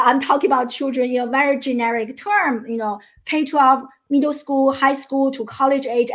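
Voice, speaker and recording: A middle-aged woman from China with a Chinese accent, giving an earnest motivational talk as a coach and often checking in with "right?". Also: high voice. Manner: earnest, many restarts and "right?" tags.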